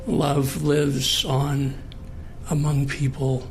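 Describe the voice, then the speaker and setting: An American man in his late sixties speaking in a halting, thoughtful, earnest way in a personal conversation. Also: medium pitch, completely flat delivery, faint music behind. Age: 60s. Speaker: participant in a recorded one-on-one conversation.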